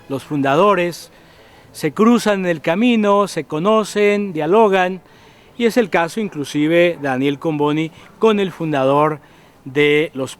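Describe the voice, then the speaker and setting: A man from Guatemala hosts a religious program with a fairly high voice. He speaks calmly and exhorts his viewers directly. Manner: calm, exhortative, addresses viewers. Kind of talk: pastoral presenter commentary.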